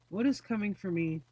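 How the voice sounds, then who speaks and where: A woman's voice: mid-range voice, mellow, breathy edge, low-quality recording. A woman doing a casual live-stream tarot reading, chatty and rambling with many fillers. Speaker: tarot reader.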